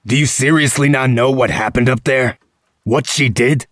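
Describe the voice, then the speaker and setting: An actor playing a gruff, blunt, sardonic and protective man in a comic dub. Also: mid-range voice, normal speed, fairly bright tone, no room sound, clean studio sound, lightly acted. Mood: incredulous.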